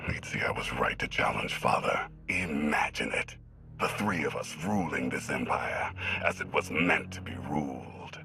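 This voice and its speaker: An actor playing a brooding, menacing son of an emperor in a video game, with a deep, gravelly, breathy voice. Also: male voice, expressive, moderate pace, bright, forceful tone, faint music behind, heavily acted. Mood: wistful, brooding. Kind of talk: dramatic video game acting.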